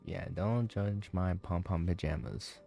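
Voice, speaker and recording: Deep gravelly man, casual gaming commentary. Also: measured pace, warm tone, faint music behind. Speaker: gaming YouTuber.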